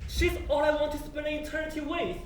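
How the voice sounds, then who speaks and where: A man's voice: very high-pitched, fairly even intonation, fast. A man acting an earnest, emotional husband pleading for answers in an afterlife stage play. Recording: echoey stage, faint background noise.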